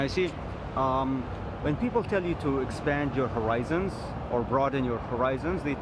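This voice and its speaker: A man vlogging a reflective personal monologue, thoughtful and halting, restarting his sentences often. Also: medium pitch. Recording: loud traffic noise behind.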